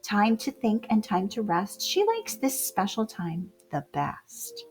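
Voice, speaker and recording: A woman reading rhyming picture book poems aloud to children in a theatrical way, moving between hushed whispers and loud exclamations. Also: medium pitch, sing-song, takes it slowly. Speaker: children's storybook reader.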